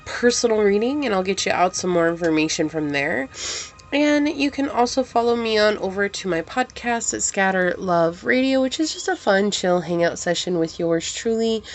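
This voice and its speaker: Female tarot reader, low-pitched, gentle and affirming, a bit congested. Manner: gentle, reassuring, speaks in affirmations to the viewer. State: slightly congested, recovering from a cold. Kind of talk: pick-a-card tarot reading to camera.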